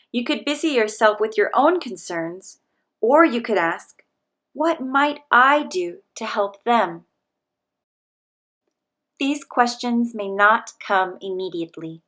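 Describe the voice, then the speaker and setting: A woman with a somewhat high voice reads a picture book aloud. She speaks gently and steadily, pitching her words to young listeners. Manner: gentle, measured, speaking to children. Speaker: children's book reader.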